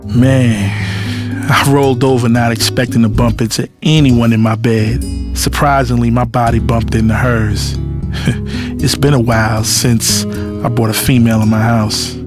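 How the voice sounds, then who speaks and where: A man acts out a cocky playboy telling his love affair in an audio drama. His mid-pitched voice is nonchalant, slangy and sensual, with music playing clearly behind him. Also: steady tone, a little slow, natural voice. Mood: reflective, sensual. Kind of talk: first-person audio drama narration. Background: music with guitar.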